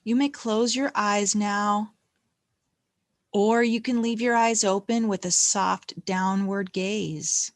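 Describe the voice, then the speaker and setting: Slow, gentle woman guiding meditation. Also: medium pitch, dry recording, clean studio sound. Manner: gentle, calm, unhurried, with long pauses. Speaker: meditation guide. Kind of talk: guided meditation narration.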